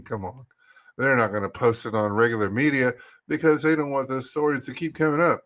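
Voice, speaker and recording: A man's voice: medium pitch, a bit bright. A man hosting a live podcast, talking in an informal, emphatic and exasperated way as he shares articles and opinions. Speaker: podcast host.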